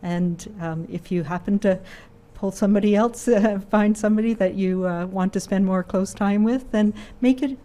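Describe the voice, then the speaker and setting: A woman health official speaking carefully and gently at a briefing, pausing often with fillers. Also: medium pitch. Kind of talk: press briefing remarks.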